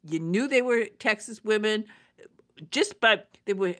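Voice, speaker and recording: Female interviewer, medium pitch, dry close sound, conversational, halting and emphatic. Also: animated, a bit bright, no echo.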